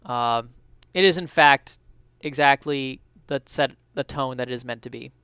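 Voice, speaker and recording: A man's voice: mid-range voice, a little slow, pushed and bright, clean tone, dry recording. A man giving a dramatic reading of a first-person story. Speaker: dramatic reader.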